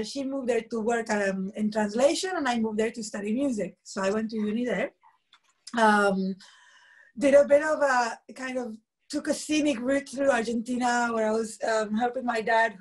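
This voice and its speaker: Woman from luxembourg, husky, chatty, laughs a lot, kinda higher voice, video call from her room. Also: poor audio. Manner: animated storytelling, laughs often. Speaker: musician guest. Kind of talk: casual video call interview. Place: home room, indoors.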